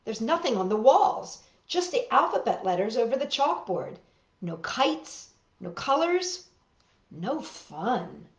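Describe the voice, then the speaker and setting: A woman hosting storytime, reading a picture book aloud in an expressive, exaggerated way with drawn-out words. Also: on the high side, vocal fry, reverberant. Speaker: children's storytime host and reader.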